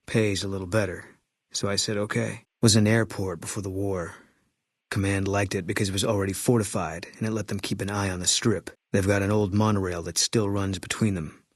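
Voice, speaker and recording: A man voicing a haunted ex-sniper companion in a video game, who talks in short, guarded sentences. His voice is very low and husky, recorded dry and close with no room around it. His delivery is flat and curt, like a soldier who would rather not talk. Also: fairly quick, no echo, close to an everyday voice. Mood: grim. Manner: terse, clipped, flat and guarded. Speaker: companion character. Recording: dry studio sound.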